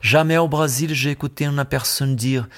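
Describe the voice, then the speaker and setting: A Brazilian man speaking French with a Brazilian accent, a musician guest in a radio studio interview, pausing often to search for words. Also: mid-range voice, fairly even intonation, a bit bright. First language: Brazilian Portuguese.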